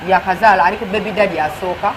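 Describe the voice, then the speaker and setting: A woman's voice: medium pitch, steady tone, very fast, crowd noise. A blunt, outspoken woman in her thirties, raised in the UK, talking as an interview guest. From United Kingdom. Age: 30s. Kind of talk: gossip interview, mixing Luganda and English. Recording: noisy background hiss.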